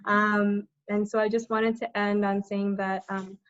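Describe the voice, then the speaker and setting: A woman's voice: medium pitch, level tone, measured pace, dry recording. A young woman who is a tenant organizer, speaking on a video call with many hesitant ums and uhs. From United States. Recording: muffled, dry video call audio.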